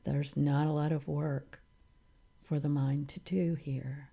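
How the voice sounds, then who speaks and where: A woman teaches meditation in a low, very mellow voice with a creaky edge. She guides calmly and soothingly, leaving long pauses between short phrases. Her delivery stays unhurried and relaxed. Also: lo-fi sound.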